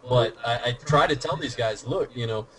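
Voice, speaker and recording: A man's voice: medium pitch, moderate pace, a bit bright, rough recording. Earnest man giving advice. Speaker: YouTube commentator. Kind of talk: casual podcast chat.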